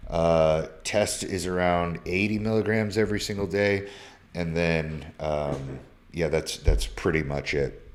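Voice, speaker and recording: A deep-voiced man in his thirties, talking loose and slangy with plenty of swearing. He reads out viewer messages on a live stream from his phone and answers them one after another, sometimes breaking into sudden loud bursts. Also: unhurried. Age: 30s. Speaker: social media creator. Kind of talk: casual live stream, reading DMs aloud.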